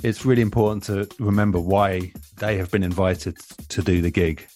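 A man, an audio producer, giving reflective interview answers in a measured, thoughtful way with pauses mid-sentence. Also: low voice, fairly even intonation, a little mellow, faint music behind. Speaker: radio and audio drama producer, interview guest.

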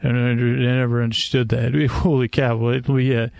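A man with a creaky, gravelly voice hosts a sleep podcast, talking in a measured, meandering, halting and self-deprecating monologue. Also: medium pitch, little pitch movement, a little mellow, no echo. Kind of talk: rambling soothing bedtime monologue. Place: screened outdoor porch structure at night.

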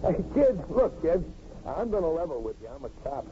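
An actor playing an earnest, down-to-earth New York traffic cop in a 1950s radio drama. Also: male voice, fairly high pitch, sing-song, moderate pace, mellow. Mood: earnest pleading. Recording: muffled, hissy 1950s radio recording.

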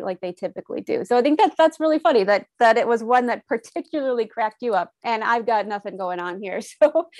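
A woman's voice: fairly high pitch, a little mellow, no room sound. A woman co-hosting a podcast, chatty and conversational in a casual exchange. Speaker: podcast co-host. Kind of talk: casual chat.

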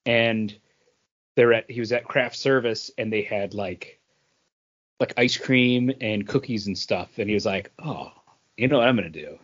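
A man's voice: on the low side, measured pace, a bit bright, muffled recording. A man co-hosting a podcast, chatting casually and retelling anecdotes with quoted dialogue. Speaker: podcast co-host.

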